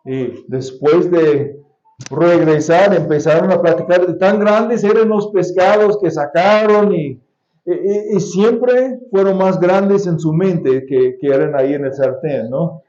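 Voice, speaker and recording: Pastor preaching in Spanish, echoey church. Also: male voice, sits high, mellow. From United States. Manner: deliberate preaching with repeated key phrases and occasional restarts. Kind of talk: sermon. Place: church sanctuary. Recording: echoey church sound, slightly dull.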